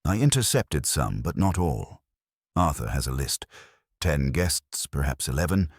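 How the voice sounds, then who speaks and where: Man reading as an anxious wife, low husky voice. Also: big pitch swings, measured pace, no room sound, studio quality, heavily acted. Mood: worried. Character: anxious, well-bred wife pleading for detective's help.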